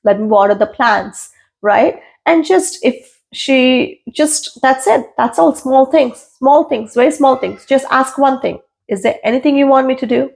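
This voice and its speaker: A woman's voice: sits high, a little slow, creaky, a little mellow, poor audio. A woman in her thirties, from India and raised in London, speaking as a podcast guest in an earnest, emphatic way and repeating phrases for emphasis. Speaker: guest, registered nurse. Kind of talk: casual podcast interview.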